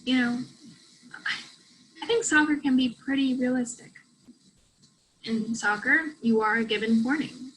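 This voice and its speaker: An actress playing a guarded, self-doubting international college student and soccer striker in a virtual play reading. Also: female voice, high voice, a little slow, pauses often, fairly bright tone, a little hiss or hum, low-quality recording. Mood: guarded, conflicted. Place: remote virtual reading over video call.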